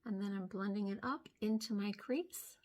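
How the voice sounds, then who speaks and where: An older woman with a hoarse edge to her voice talks slowly and gently through each makeup step. Her pitch sits in a middling range for a woman. She is recorded close up on a phone in a small room at home. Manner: gentle, step-by-step explaining while applying makeup. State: hoarse from sinus trouble. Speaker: beauty vlogger. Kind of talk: makeup tutorial chat. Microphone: phone camera close up.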